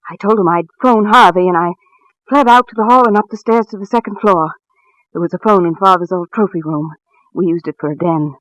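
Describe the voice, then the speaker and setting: A woman's voice: on the low side, no echo, lightly acted, low-quality recording. An actress playing a nervous married woman in 1940s radio drama, frightened and hesitant, on a vintage recording. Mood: haunted, tense. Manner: hesitant, halting, repeating words.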